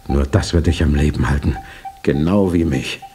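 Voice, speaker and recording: An actor playing a terminally ill, gruff and imperious old millionaire in a German radio play, speaking in a curt, commanding way. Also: male voice, a bass voice, moderate pace. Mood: grave resolve. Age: older.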